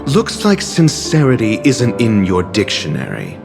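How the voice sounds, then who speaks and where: A man's voice: lowish voice, wildly swooping pitch, a little slow, gravelly, heavily acted. A man voicing a haughty, clever male doctor in a game, dry and condescending. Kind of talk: game voice acting. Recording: game audio with music behind.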